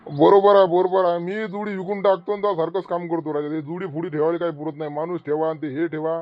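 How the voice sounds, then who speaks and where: Man as a blunt cartoon village uncle, high pitch, quick delivery, earthy and emphatic, Vidarbha Marathi accent. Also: flat delivery, no room sound, dull audio, lightly acted. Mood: admiring chatter. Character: blunt, excitable middle-aged village uncle, a farmer. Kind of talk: homemade rural comedy cartoon dialogue.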